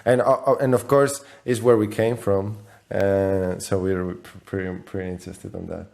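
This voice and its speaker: Halting man with lowish voice. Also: level tone, gravelly, a little mellow. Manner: halting, repeats words while searching for phrasing. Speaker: company CEO, interview guest. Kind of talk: conversational interview answers.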